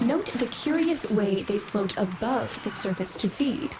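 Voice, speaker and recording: A woman's voice: medium pitch, animated, measured pace, noisy background, lightly acted. A woman voicing a jaded, scornful scientist daughter in a video game, wry and condescending. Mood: dry, clinical. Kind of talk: scripted video game character acting.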